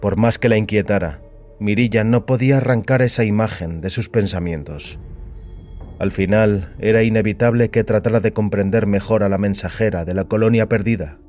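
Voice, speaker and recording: A man narrating a scripted dramatic story in a solemn, deliberate manner. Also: fairly low pitch, darkish tone. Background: music.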